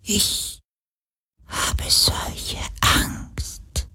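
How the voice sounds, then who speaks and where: A man voicing a dying, frightened ghostly spirit, breathy and barely audible. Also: sharp tone, no room sound, studio quality, heavily acted. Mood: fearful. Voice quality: breathy, whispered. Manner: faint, slow, fearful.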